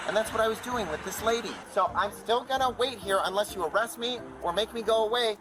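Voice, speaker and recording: A man's voice: sits high, a little fast. A man arguing with a police officer, indignant and insistent, recorded on a body camera from a distance. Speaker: man in a body cam video. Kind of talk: heated argument with police. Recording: distant body cam audio with noise.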